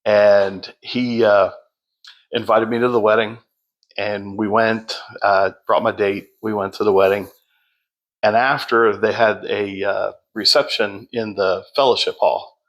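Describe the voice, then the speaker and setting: A man telling his story as a podcast guest in a loud, projecting voice, unhurried, with many fillers and restarts. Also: fairly low pitch, flat delivery, rough recording. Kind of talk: casual storytelling.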